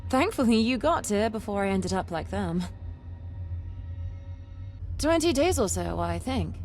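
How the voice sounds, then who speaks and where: A woman playing an eccentric, excitable, boastful young treasure hunter in a video game, chatty and theatrical with dramatic emphasis. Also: mid-range voice, measured pace, soft background music. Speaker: game companion character.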